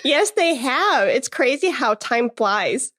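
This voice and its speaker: A woman's voice: high voice, very animated, takes it slowly, a bit bright. A woman from Chicago hosting a podcast, upbeat and encouraging, laughing often with her guest. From Chicago, USA. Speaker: podcast host. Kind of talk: podcast interview.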